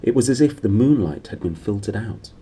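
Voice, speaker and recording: A man's voice: on the low side, lively intonation, brisk, warm tone. A man in his thirties reading a novel aloud in a small room. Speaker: reader. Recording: clearly noisy room recording.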